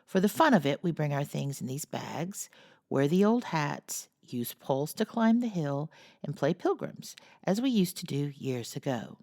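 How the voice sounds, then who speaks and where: A woman voicing a frank, outspoken teenage girl in a storybook reading, blunt and brisk. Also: sits low, sing-song, darkish tone, dry recording, clean studio sound, full character voice. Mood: explaining.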